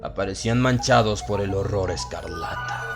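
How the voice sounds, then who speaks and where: A man's voice: low-pitched, normal speed. A man with a Latin American Spanish accent reads a horror audiobook in a solemn, theatrical, dramatized style. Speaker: audiobook narrator. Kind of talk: dramatized scripted horror narration. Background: background music.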